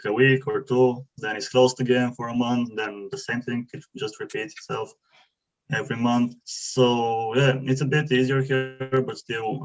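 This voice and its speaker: A young man from Bulgaria, not an English speaker, asking a question on a video call with many fillers as he searches for words. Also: medium pitch. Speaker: webinar participant asking questions. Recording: muffled video call audio.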